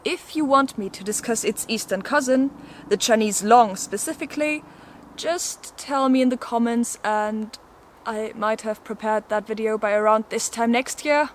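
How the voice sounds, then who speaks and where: Playful high-voiced woman presenting an explainer. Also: normal speed. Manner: playful, lightly theatrical. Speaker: video host presenting an educational explainer. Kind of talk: scripted narration with casual asides. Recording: noticeable background noise.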